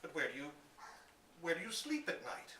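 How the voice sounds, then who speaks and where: A man voicing a flustered, proper gentleman landowner from a storybook, indignant and demanding, trying to sound authoritative. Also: a little high, very animated, moderate pace, pitched above the performer's own voice. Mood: demanding, puzzled. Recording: roomy, distant live recording with hiss.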